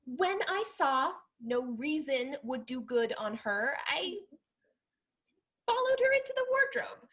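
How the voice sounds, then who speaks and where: Woman playing a bold, high-voiced mischievous young lady. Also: big pitch swings, slow, fairly bright tone, exaggerated voice. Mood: gleeful boasting. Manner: theatrical, emphatic, archaic diction. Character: bold, mischievous young Spanish gentlewoman, scornful of men. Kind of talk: period stage comedy acting.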